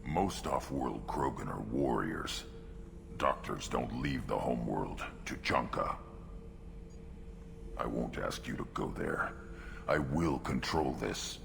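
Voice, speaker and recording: A restless young alien warrior for a video game. The voice is a rock-bottom, heavily gravelled growl with a hard, forceful edge. The lines come terse and brooding, full of barely held fury. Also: male voice, very deep voice, expressive, slow pace, pauses often, a little hiss or hum, exaggerated voice. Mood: grim, resolute. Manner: terse, clipped, brooding phrases.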